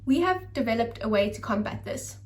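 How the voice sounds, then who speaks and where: A woman doctor hosting an advice video, speaking to camera in an earnest, encouraging and steady way. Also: fairly high pitch, a little fast, distant mic. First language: English. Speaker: doctor and channel host.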